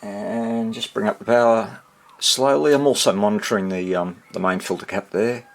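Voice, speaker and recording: A man giving a casual hands-on repair demonstration, muttering to himself and pausing between short phrases as he thinks aloud. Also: lowish voice. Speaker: hobbyist radio repairer presenting his workshop video.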